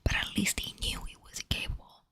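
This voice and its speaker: A woman whispering a late-night rant about a book at her desk, exasperated and swearing casually, close to a desk microphone. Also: moderate pace. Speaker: book vlogger.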